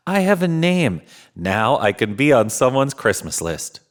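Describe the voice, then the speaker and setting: A man's voice: medium pitch, sing-song, unhurried. A man voicing a small, wistful, hopeful male teddy bear in an earnest, wondering way for a children's storybook.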